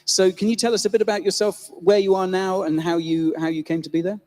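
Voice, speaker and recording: A man moderates a live panel before an audience, his voice riding high. It rings with the long reverb of a large venue. He introduces guests with loose, self-correcting phrasing. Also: level tone, quick pace, darkish tone. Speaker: host and moderator.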